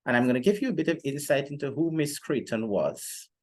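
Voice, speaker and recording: Young adult male, medium pitch, literature-teacher type: formal, measured phrasing, explaining to camera. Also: animated, a little mellow. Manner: formal, deliberate phrasing with frequent pauses. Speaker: literature teacher presenting a lesson. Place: indoor room, seated before a plain wall.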